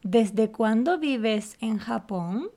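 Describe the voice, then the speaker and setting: Slow, careful woman. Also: fairly high pitch, animated, dark tone. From Canary Islands, Spain. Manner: clear, deliberate, carefully spaced phrasing. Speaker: podcast co-host, Spanish teacher. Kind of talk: slow, scripted beginner language lesson dialogue.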